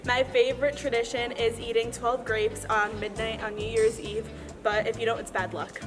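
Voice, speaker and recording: A woman's voice: a little high, level tone, normal speed, over music. A teenage girl giving short, composed interview answers. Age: teens. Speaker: interviewed student.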